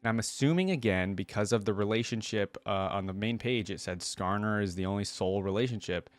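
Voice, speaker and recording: A man's voice: on the low side, brisk, dry recording. A man hosting a reaction channel in an informal, enthusiastic way, reading story text aloud and commenting on it. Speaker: host of a game lore reaction channel.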